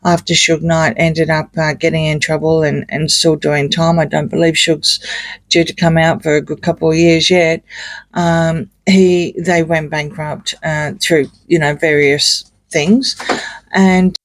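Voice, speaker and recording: A man with a croaky voice, doing a rambling, hesitant tarot reading full of fillers and restarts. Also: fairly high pitch, level tone, measured pace, no echo. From Australia. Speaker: tarot reader. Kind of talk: casual tarot reading monologue.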